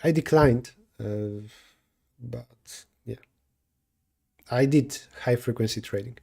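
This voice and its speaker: Mellow-voiced Polish man thinking aloud. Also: mid-range voice, slow, gravelly, husky. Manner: thinking aloud, self-correcting mid-sentence. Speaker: streamer solving coding problems. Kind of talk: live coding stream, answering chat.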